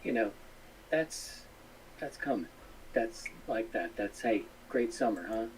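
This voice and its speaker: A man's voice: on the low side, lively intonation, slow. Man, casual live stream host, earnest, rambling, distant mic, faint background noise. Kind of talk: casual live stream talk, appealing to fellow hams.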